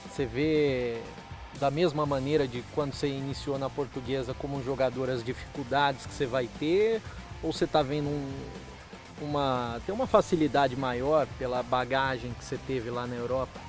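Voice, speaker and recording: A man's voice: medium pitch, steady tone, moderate pace, over music. A male interviewer asking a long question and rephrasing it as he goes.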